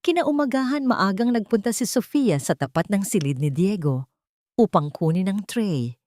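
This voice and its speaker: A woman narrating a scripted dramatic story in a measured, solemn manner. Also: mid-range voice, animated, darkish tone.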